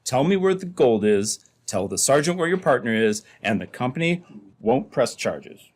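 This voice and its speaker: A man's voice: mid-range voice, very animated, moderate pace. A man playing a stern but lenient company boss in an old-time radio drama, firm and exasperated. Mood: weary, firm.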